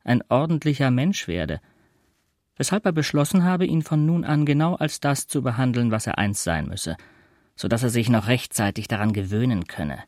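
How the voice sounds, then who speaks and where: A man's voice: mid-range voice, lively intonation, normal speed, a little mellow, no room sound. Male literary narrator, close dry sound. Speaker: narrator of a literary radio play.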